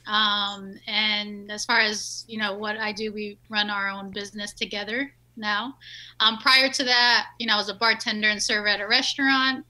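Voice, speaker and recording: A woman's voice: mid-range voice, steady tone, moderate pace, pushed and bright, low-quality recording. A woman in her early thirties chatting casually as an interview guest, telling her story and often checking in with the listener. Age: early 30s. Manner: chatty, checks in with "right?" and "you know". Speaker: podcast guest, business owner.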